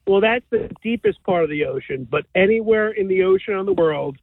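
A man's voice: sits high, huge pitch swings, brisk, creaky, dry recording. Man on a tinny phone line. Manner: explains facts insistently. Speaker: caller.

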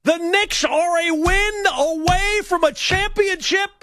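A middle-aged man hosting a call-in sports radio show, excitable and emphatic, with a high voice. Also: slow pace, dry recording, studio quality. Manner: excitable, emphatic, mock-indignant.

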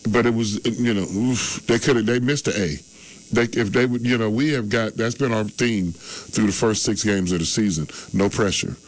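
A man's voice: fairly low pitch, normal speed, low-quality recording. Rambling man in his sixties. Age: 60s. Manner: rambling, repeats himself, animated swings. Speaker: sports show regular. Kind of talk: casual sports talk.

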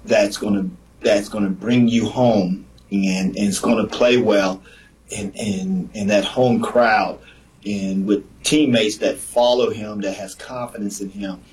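A man who played the sport, a guest on a radio show, telling stories in a lively, repetitive way. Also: fairly low pitch, unhurried, poor audio. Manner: animated storyteller, repeats himself. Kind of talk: casual sports radio chat.

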